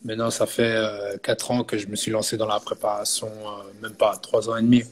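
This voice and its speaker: A man's voice: fairly low pitch, fairly quick. Belgian man in his thirties, chatting easily. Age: 30s. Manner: relaxed, frequent filler "tu vois" and restarts. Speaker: personal fitness coach. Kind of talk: casual interview talk.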